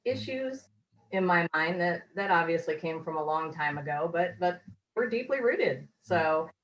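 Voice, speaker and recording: An earnest woman with a fairly low voice, a little hoarse, talking over a video call. She rambles reflectively, circling back and restarting her thoughts as she goes. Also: animated, normal speed, fairly bright tone, muffled recording. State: slightly hoarse. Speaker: course graduate, interviewee. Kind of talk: casual testimonial interview.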